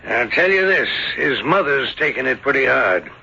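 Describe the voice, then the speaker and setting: An actor playing a folksy, grumbling farmer with a gravelly voice and a rustic, gossipy manner in an old radio drama. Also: male voice, medium pitch, big pitch swings, moderate pace, pushed and bright, full character voice. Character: folksy, grumbling male farmer, father of grown son. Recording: dull, muffled old radio recording.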